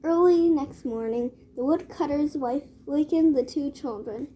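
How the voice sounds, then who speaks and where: Woman, high soft-toned voice, measured children's storybook narration, noisy background. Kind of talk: children's read-aloud narration.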